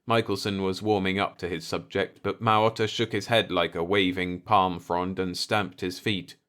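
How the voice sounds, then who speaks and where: Low-pitched male audiobook narrator. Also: flat delivery, normal speed, a bit bright. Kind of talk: scripted story narration.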